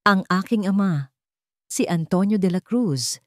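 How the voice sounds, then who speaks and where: Grave, wounded rich young husband in audio drama read by a woman, measured and dry-sounding. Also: mid-range voice, lively intonation, no room sound, close to an everyday voice. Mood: grave, accusing.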